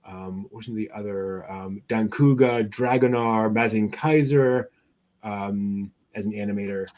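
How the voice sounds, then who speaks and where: A man co-hosting an anime news show in a casual, halting way, as if searching through his notes while he talks. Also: on the low side, a little mellow.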